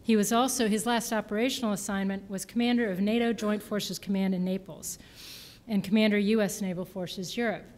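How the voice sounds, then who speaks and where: A woman's voice: medium pitch, steady tone, brisk, a little mellow. A woman formally introducing a speaker at a public event, recorded in a reverberant hall.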